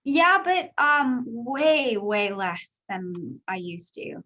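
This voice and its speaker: A woman in her thirties from Canada with a high voice, answering interview questions as a guest speaker in a candid, chatty way full of fillers. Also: slow pace, a bit bright, highs cut off. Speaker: guest speaker, company executive.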